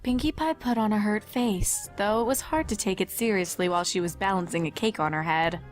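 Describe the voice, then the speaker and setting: A woman's voice: medium pitch, a little fast, faint music behind. A woman reading scripted story narration as the narrator of a fan fiction. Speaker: fanfic narrator.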